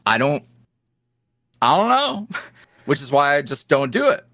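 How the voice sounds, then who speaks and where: A man's voice: fairly high pitch, big pitch swings, measured pace, sharp tone, no room sound. A man speaking casually and candidly as an interview guest, tossing off self-deprecating asides. His voice moves up and down a lot, and the close microphone catches it dry and clean. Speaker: guest, ASMR roleplay creator.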